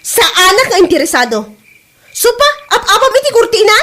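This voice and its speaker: A woman's voice: very high-pitched, very animated, brisk, sharp tone, exaggerated voice. A woman acting the part of a fed-up, sarcastic young wife who nags, in a comedy drama. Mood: exasperated.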